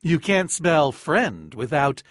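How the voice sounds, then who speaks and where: An actor playing an eccentric, lonely and nervous scholar in a video game, speaking in a wordy, halting, theatrical way. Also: male voice, on the high side, big pitch swings, no echo, clean studio sound. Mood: friendly, eager. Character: eccentric lonely man, a nervous scholarly architect obsessed with monsters. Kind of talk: video game character acting, theatrical monologue.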